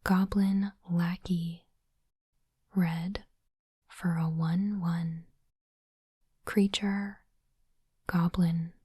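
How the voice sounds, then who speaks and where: Woman whispering very slowly, ASMR style. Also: on the low side, level tone, dry recording, clean studio sound. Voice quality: breathy, unvoiced whisper. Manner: slow, deliberate, softly reading aloud. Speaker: host of an ASMR unboxing channel.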